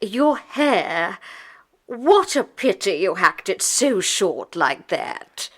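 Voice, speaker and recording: A woman playing a fond, gentle elderly grandmother in an audiobook dramatization. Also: medium pitch, very animated, drawn-out words, full character voice. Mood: fond, rueful.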